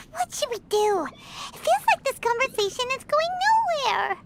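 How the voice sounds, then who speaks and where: Small excitable fairy companion for a video game: extremely high, childlike, expressive, lively melody of speech. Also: female voice, measured pace, gravelly, heavy background noise, heavily acted. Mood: puzzled. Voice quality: squeaky, childlike. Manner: expressive, refers to herself by name. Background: music.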